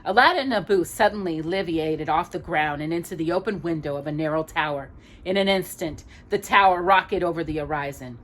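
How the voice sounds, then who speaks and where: A woman reading a children's story aloud, expressively, with dramatic, measured phrasing and pauses. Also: sits low, fairly bright tone, slight background noise. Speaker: storytime reader.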